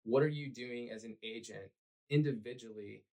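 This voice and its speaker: A man, hoarse and raspy from cheering, talking earnestly about his work as a podcast guest with lots of fillers. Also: mid-range voice, normal speed, a little mellow. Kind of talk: conversational podcast interview.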